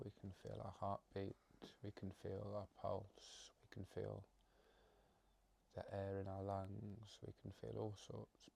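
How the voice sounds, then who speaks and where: A man with a mellow, flat-toned voice leads a guided meditation. He talks off the cuff in short, halting pieces, leaving long pauses, with plenty of vocal fry. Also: on the low side.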